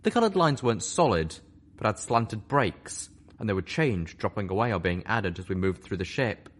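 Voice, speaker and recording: A man narrating a fan-fiction audiobook with an expressive, animated reading. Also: on the low side, normal speed. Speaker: story reader.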